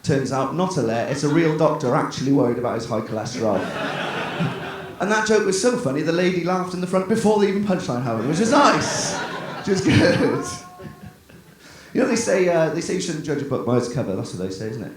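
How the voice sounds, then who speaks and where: A man doing a stand-up set at a comedy night, talking in a chatty, self-deprecating way with quick asides. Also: a little high, big pitch swings. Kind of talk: stand-up set, then casual chat. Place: comedy club stage. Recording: very echoey venue with background noise.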